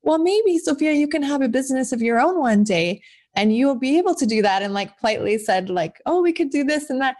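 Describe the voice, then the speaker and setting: A woman's voice: sits high, animated, fairly quick, darkish tone. A woman in her forties, an author talking as a guest in a casual interview, chatty and rambling with many restarts. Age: 40s.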